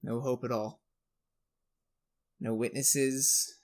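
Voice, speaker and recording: A woman playing a weary, earnest defender of a condemned friend in a 1940s-style radio drama, halting and subdued. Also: rumbling low, steady tone, a little mellow. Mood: anguished, regretful.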